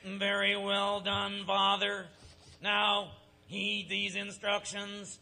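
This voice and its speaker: A man's voice: high-pitched, completely flat delivery, gravelly, sharp tone, full character voice, poor audio. A man playing a giant murderous robot with a human brain, melodramatic and bombastic, in a live parody radio play. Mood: commanding, hypnotic. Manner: slow, droning pronouncements, bombastic villain declarations. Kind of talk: live comedic audio theatre parody of sci-fi B-movie. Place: stage, live performance.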